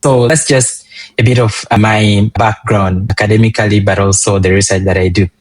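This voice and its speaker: A young man from Uganda with a low, grounded voice talks through his background as an interview guest. He speaks earnestly and in measured steps, often pausing on an um or restarting a phrase, and the sound is dry and close with no room around it. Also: fairly even intonation, no echo. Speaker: guest, public health physician. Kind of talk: conversational interview answers.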